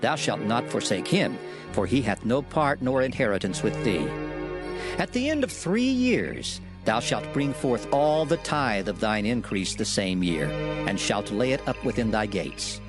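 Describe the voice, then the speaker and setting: A man giving a solemn, measured, declamatory reading of scripture in a dramatized production. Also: mid-range voice, sing-song. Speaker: scripture reader. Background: background music.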